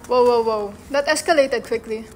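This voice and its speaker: Woman playing brash, high-pitched Filipino business hustler. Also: normal speed, soft background music, lightly acted, low-quality recording. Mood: dismissive, teasing. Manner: sarcastic, colloquial, mixing English into Tagalog. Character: brash, money-minded male website entrepreneur, teasing his friend. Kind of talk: dramatic reading of a stage script.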